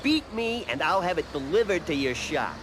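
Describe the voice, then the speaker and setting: An actor playing a brash, taunting motorcycle parts dealer in a video game. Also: male voice, fairly high pitch, sing-song, normal speed, noisy background. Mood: cocky, challenging. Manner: cocky, taunting.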